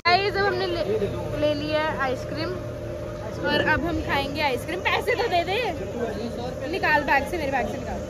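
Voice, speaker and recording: A woman's voice: sits high, moderate pace. A young woman vlogging casually to her viewers on a busy outdoor street, chatty and teasing, filmed on a phone held at arm's length. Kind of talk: casual travel vlog. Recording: crowd and street noise.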